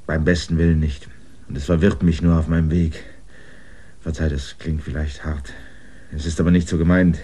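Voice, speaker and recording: A stubborn land surveyor. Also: male voice, extremely low pitch, flat delivery, moderate pace, warm tone, slightly rough. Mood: brooding. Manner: earnest, insistent, rising to agitation. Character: stubborn land surveyor, outsider seeking the castle. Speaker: lead character. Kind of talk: German radio drama acting.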